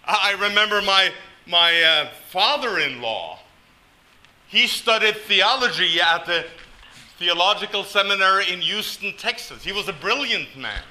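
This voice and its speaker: A man's voice: sits high, big pitch swings, measured pace, pushed and bright, poor audio. An older man from Norway preaching a sermon, telling stories with folksy, self-deprecating humor. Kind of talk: sermon with storytelling.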